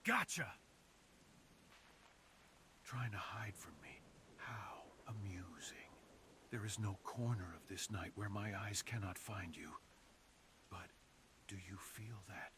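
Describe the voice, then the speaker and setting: Guy doing a deep-ish fox assassin for asmr, dramatic and intimate, savors his words, bit of hiss on the recording. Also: takes it slowly, long pauses. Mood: taunting, predatory. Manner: theatrical, savoring phrases, dropping into murmurs. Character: menacing, sadistic male fox assassin with a remorseful streak. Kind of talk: ASMR roleplay, close dramatic monologue to the listener.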